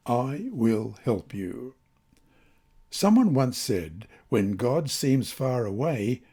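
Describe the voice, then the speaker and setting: Male narrator for scripture and devotional lessons: mellow tone, animated intonation, measured emphatic delivery. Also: mid-range voice, husky. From Australia. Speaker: lesson reader.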